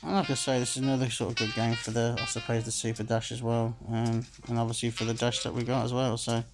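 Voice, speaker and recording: Gravelly rambling man. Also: medium pitch, level tone, fast. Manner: rambling, full of "sort of" and "like I say". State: a bit phlegmy. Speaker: slot game streamer. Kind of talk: casual commentary while playing. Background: game music.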